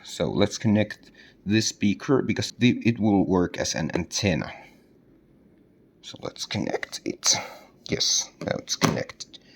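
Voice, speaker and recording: A man's voice: low voice, very animated, unhurried, gravelly, husky. A man hosting a DIY video, giving a casual demonstration and often hesitating as he searches for words.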